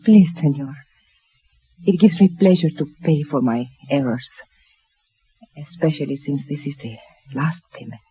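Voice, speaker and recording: A thin vintage radio recording of a cool, aristocratic widow. Her voice is husky, and she speaks formally, with great restraint. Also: low voice, lively intonation, moderate pace, pauses often, exaggerated voice. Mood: cool, relieved. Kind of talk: 1950s western radio drama acting. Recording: old radio broadcast, narrow band.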